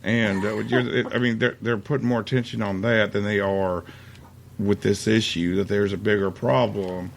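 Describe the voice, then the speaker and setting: A man hosting a podcast, talking in a halting way with lots of uhs. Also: lowish voice, fairly even intonation, gravelly, slight background noise. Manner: halting, many fillers. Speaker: podcast host. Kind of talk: casual podcast chat.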